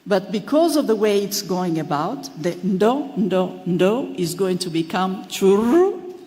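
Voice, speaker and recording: A woman delivers a formal acceptance speech in a measured, deliberate way. She slows to stress key phrases, and her voice sits at a mid pitch. Her voice rings with the long reverb of a large hall. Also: sing-song, dark tone. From Tanzania. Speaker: award recipient. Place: large hall at an awards ceremony.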